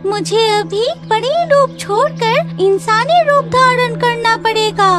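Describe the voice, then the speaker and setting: Squeaky obedient little fairy. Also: female voice, slow. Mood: dutiful. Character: obedient young blue fairy, girlish and dainty. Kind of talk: cartoon character acting. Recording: loud music behind the voice.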